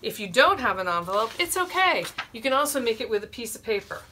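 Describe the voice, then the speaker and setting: A woman's voice: on the high side, sing-song, fast, sharp tone, slight background noise. A woman in her forties hosting a children's storytime, explaining a simple craft step by step from a small room. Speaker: librarian, storytime host.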